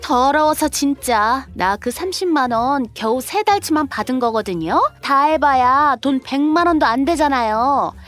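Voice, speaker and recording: Woman acting as brazen sister-in-law, very high voice, animated pitch, indignant, whiny, audio drama. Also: unhurried, hardly any pauses, soft background music. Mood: defensive, scornful. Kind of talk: scripted audio drama dialogue.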